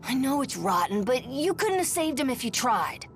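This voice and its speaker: An actress voicing a blunt, spunky young spirit who talks informally and expressively in a video game drama. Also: female voice, sits high, big pitch swings, moderate pace, slight background noise. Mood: frank concern.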